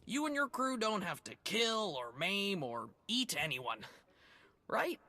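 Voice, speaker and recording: An actor playing a nervous pirate greeter who stammers and keeps laughing anxiously in a video game cutscene. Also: male voice, high-pitched, very animated, measured pace, pushed and bright, no echo, heavily acted. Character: nervous, jittery pirate greeter at a raider cove.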